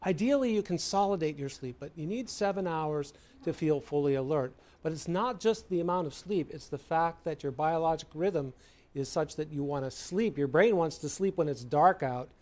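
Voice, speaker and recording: American man, expert interviewee, explanatory, mellow timbre, somewhat high pitch. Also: expressive, moderate pace. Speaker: sleep medicine expert being interviewed.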